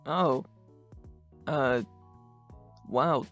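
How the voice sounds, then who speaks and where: A woman voicing a laid-back, sarcastic teenage boy in a fan dub, talking in deadpan slang. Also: very deep voice, very slow, faint music behind, exaggerated voice. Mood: deadpan, mildly sarcastic.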